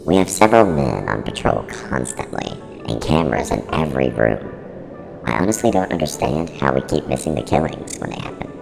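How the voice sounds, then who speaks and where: An actor playing a snarky, defensive non-human service unit who runs a horror studio, with a pitched-down, gravelly voice in a mock interview. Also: male voice, big pitch swings, brisk, full character voice. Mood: grave, worried. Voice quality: very deep, creaky, heavily reverberant. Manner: blunt, flippant, defensive. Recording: long reverberant echo, music underneath.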